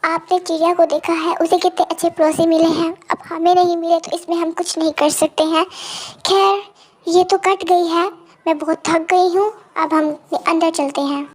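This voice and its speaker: A woman voicing a gentle, long-suffering mother parrot in a children's moral story, with a polite, apologetic manner. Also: very high voice, flat delivery, normal speed, voice pushed up. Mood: tender, weary.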